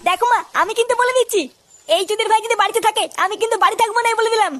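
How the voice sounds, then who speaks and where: A squeaky hot-tempered village lad. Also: steady tone, very fast. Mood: angry ranting. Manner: shouting crude rants. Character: hot-tempered foul-mouthed young village man. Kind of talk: comic cartoon dubbing.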